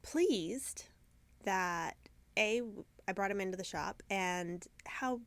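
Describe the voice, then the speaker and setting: A woman with a croaky, stuffed-up voice hosts her own podcast from inside her yarn shop. She chats alone to the camera in a loose, rambling way, pokes fun at herself, and sometimes loses her thread. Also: medium pitch, expressive, takes it slowly, no echo. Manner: chatty and rambling, self-deprecating, loses her train of thought. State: congested, croaky from sinus trouble. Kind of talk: casual video podcast chat. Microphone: camera mic, talking alone to camera.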